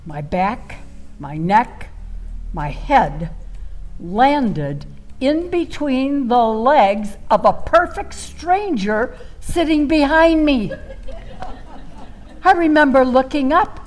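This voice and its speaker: A woman's voice: high voice, lively intonation, faint music behind. A woman playing a flamboyant, self-dramatizing storyteller in a comic radio monologue, wry and flirtatious, drawing out words for effect. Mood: mock indignant. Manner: theatrical, drawn-out delivery with pauses, sighs and exclamations.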